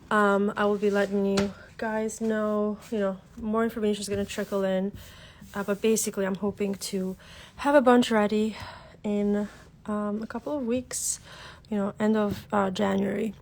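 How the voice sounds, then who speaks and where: A woman's voice: medium pitch, darkish tone, a little hiss or hum. A woman with a cold, sounding congested, hosting a casual craft livestream from her desk, chatty and full of fillers as she greets viewers. Her first language is Albanian. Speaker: craft tutorial host.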